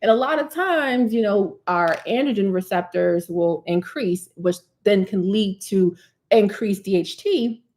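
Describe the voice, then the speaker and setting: A woman in her thirties hosting a live hair care Q&A, chatty and animated, calling viewers honey and sis. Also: mid-range voice, measured pace. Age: 30s. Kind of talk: live stream Q&A, casual chat.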